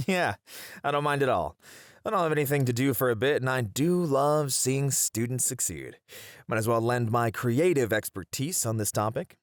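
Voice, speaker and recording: A man's voice: medium pitch, expressive, normal speed, dry recording, studio quality, close to an everyday voice. A man playing a playful, theatrical colleague at a college who loves teasing, with a dramatic, flowery manner in an ASMR roleplay. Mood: playful, eager.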